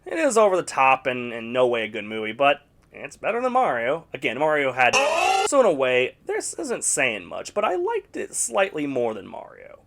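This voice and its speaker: A man's voice: mid-range voice, huge pitch swings, moderate pace, gravelly, a bit bright, a little hiss or hum. A man narrating a scripted video essay reviewing video game movies, with sarcastic, blunt opinions.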